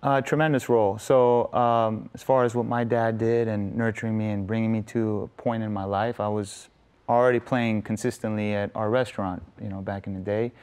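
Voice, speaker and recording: A man's voice: lowish voice, level tone, moderate pace, darkish tone, clean tone. A young man from Los Angeles, a guest in a TV studio interview, telling stories in a chatty way with lots of "you know" and "like". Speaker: guest musician. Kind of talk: casual interview storytelling. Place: indoor TV studio set.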